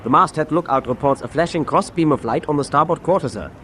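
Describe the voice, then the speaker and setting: A man's voice: a little high, quick pace, fairly bright tone, a little hiss or hum, close to an everyday voice. Man as a dutiful German naval first officer. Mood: dutiful, watchful. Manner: formal, respectful reporting. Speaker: actor. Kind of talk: radio drama acting.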